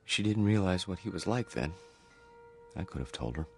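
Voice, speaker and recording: An actor playing an earnest, vulnerable psychiatric patient in a radio drama, with a mild, hesitant manner. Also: male voice, deep voice, expressive, normal speed, a little mellow, faint music behind. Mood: anxious, yearning.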